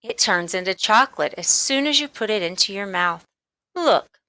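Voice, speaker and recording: A woman's voice: medium pitch, lively intonation, moderate pace, pushed and bright. A woman voicing a young schoolboy in a storybook, reasonable, self-assured and matter-of-fact.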